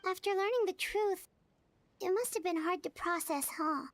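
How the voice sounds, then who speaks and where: A tiny childlike companion. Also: female voice, extremely high pitch, moderate pace. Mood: earnest. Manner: earnest, curious, talks of self in third person. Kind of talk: game dialogue acting.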